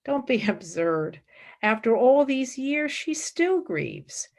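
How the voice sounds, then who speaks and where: An actress plays a searching young woman intellectual in a radio play. Her voice sits a little high and moves dramatically up and down. She speaks with earnest, declamatory intensity. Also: big pitch swings, takes it slowly, a little mellow, heavily acted. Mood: firm, thoughtful.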